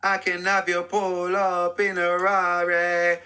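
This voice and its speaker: Autotuned man rapping, bright and high. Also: completely flat delivery, slow pace, clean tone. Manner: melodic sung rap. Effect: pitch-corrected vocal. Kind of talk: autotuned rap vocal playback.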